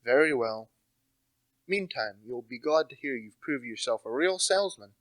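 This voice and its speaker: A young man playing a precise, brooding, intellectual gentleman detective in a radio play, deliberate and theatrical in delivery. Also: mid-range voice, expressive, no echo, close to an everyday voice. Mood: determined. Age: young adult.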